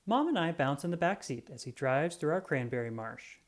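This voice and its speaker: A reader reading a children's picture book aloud in an expressive storytelling manner. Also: mid-range voice, brisk.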